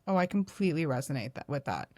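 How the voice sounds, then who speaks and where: A young woman who is a podcast guest, talking in a loud, animated, self-deprecating way. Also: low voice, fast, no room sound, clean studio sound. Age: young adult. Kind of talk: candid podcast conversation.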